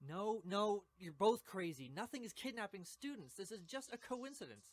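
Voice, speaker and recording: Man as grumpy young sceptic, animated voice. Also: sits high, normal speed. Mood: dismissive. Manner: exasperated, grudging. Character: grumpy, doubting young man, reluctant student sidekick. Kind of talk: fan audio drama acting. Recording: clear background noise.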